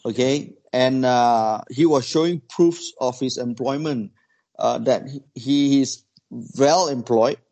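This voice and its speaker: A man's voice: medium pitch, dark tone. A man, telling a story in casual group conversation, with many restarts and "you know" fillers. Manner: halting, restarts phrases, uses "you know" and "lah".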